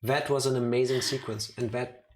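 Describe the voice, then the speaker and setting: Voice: medium pitch, fairly even intonation, moderate pace, noisy background. A streamer chatting casually while playing a game. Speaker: let's play streamer.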